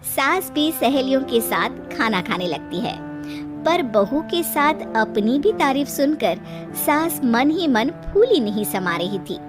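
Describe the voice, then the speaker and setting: A woman giving scripted storybook narration for an animated moral tale. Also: high voice, takes it slowly, over music.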